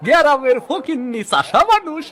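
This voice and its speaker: Spiteful cartoon hotel owner, male actor: high, very animated, blustering, jealous, music behind. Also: moderate pace, a faint crowd, exaggerated voice. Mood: bitter, angry. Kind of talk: exaggerated cartoon voice acting.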